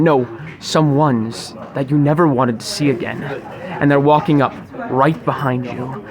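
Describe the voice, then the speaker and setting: A young man hosting a podcast in a mock-formal, grandiose, wordy scripted style inside a busy restaurant. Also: fairly high pitch. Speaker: podcast host. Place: recorded inside a sushi restaurant. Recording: busy restaurant chatter around the voice.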